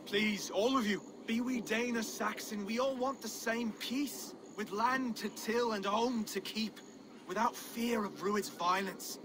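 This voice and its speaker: A mild Saxon lord, courteous and earnest, with a slightly high voice. He speaks like a well-meaning would-be king in a game drama, over clear background noise. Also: unhurried. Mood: pleading, earnest. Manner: courteous, earnest, soft-hearted. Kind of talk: video game cutscene acting.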